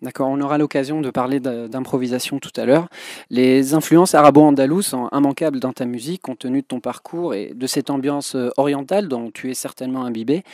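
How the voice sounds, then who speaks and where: A male radio interviewer reading out prepared questions in a formal, measured way. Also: medium pitch, a little mellow, dry recording, clean studio sound.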